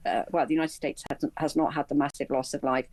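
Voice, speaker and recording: Low-voiced woman giving news commentary. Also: fairly even intonation, fast talker, a little mellow, no echo. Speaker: aviation and travel commentator, interview guest. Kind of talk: live news interview commentary.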